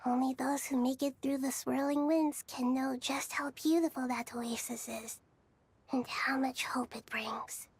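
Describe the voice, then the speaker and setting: A woman voicing a serene, wise child goddess in a video game, soft and breathy, with a kindly poetic manner. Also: high-pitched, steady tone, a little slow, heavily acted. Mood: grateful, tender.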